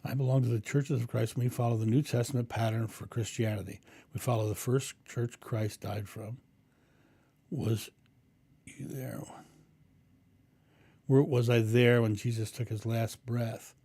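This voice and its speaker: A man with a booming voice hosting a live stream, answering viewers' questions in a rambling, animated way and acting out thoughts. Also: no room sound. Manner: animated, acts out inner thoughts with raised voice; rambling with frequent ums and restarts. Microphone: condenser mic through a mixing board.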